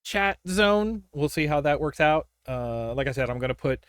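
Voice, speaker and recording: A man's voice: a little high, lively intonation, normal speed, fairly bright tone, smooth, dry recording, clean studio sound. A man hosting a livestream in casual gaming chat, thinking aloud and reacting to play as he goes. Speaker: livestream host.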